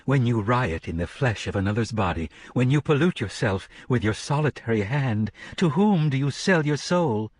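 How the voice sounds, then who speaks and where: A man's voice: medium pitch, expressive, moderate pace, few pauses, no room sound, natural voice. A man reading an audiobook, voicing a commanding female preacher who thunders out a hellfire sermon with rising cadences. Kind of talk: audiobook character voice.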